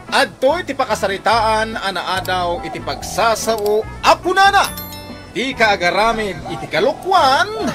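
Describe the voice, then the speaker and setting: A man with an Ilocano accent announcing a radio drama in a loud, declamatory scripted style. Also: sits high.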